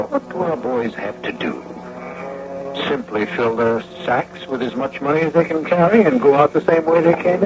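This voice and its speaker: An actor playing an urbane, drily ironic host, narrating an old-time radio drama in a measured, literary manner. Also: male voice, medium pitch, vocal fry. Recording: noisy, muffled radio drama recording.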